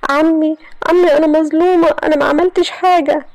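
A woman with an Egyptian accent voicing a distraught young woman, speaking high and pleading through tears. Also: quick pace, warm tone. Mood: desperate. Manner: high, crying, desperate pleading.

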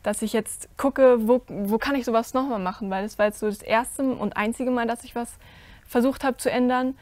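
A teenage girl on a stage panel, answering earnestly as a cast member, with many pauses and fillers. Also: on the high side, steady tone, a little mellow, no room sound. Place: stage with curtain, indoors.

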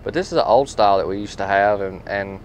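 Low-voiced man, rambling. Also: quick pace, low-quality recording. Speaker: tool truck dealer. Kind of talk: casual product talk and storytelling. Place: inside a tool truck. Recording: steady background noise.

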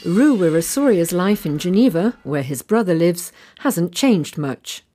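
Female news narrator, low and mellow, steady scripted read, soft music under. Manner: measured, even reading.

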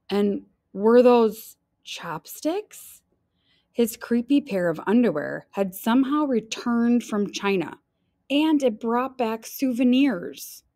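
A woman reading a children's picture book aloud in an expressive style, stretching key phrases for drama. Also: medium pitch, dry recording, studio quality.

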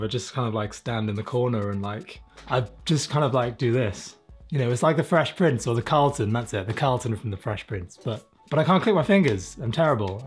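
A man's voice: mid-range voice, husky. A man in his thirties from South Wales chatting casually and self-deprecatingly as an interview guest, with informal, sweary talk. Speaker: interviewed illustrator. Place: small room, home studio indoors.

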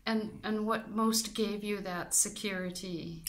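Slow, level-voiced woman interviewer. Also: medium pitch, steady tone. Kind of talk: interview questions.

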